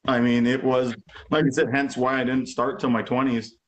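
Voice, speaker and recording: A man's voice: medium pitch, flat delivery, moderate pace. A man in his forties, a guest drummer chatting casually, rambling with lots of "you know" and "like". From Alberta, Canada. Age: 40s. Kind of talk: casual chat. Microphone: video call.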